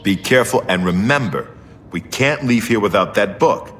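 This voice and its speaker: A man voicing a gruff, resolute seasoned warrior and secret agent in a fantasy video game. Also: lowish voice, expressive, moderate pace, fairly bright tone, slight background noise. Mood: grave, resolute. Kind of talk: video game dialogue acting.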